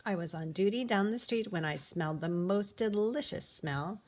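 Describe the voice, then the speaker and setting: A woman's voice: mid-range voice, moderate pace, darkish tone, lightly acted. A woman voicing a polite, appreciative female police officer in a storybook, relishing her words with emphasis.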